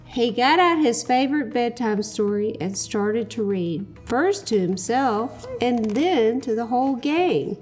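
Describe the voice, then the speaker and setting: A woman reads aloud to children, expressive and encouraging, speaking directly to young listeners. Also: on the high side, a little slow, few pauses, faint music behind. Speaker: children's book reader, show host. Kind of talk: animated read-aloud storytelling for children.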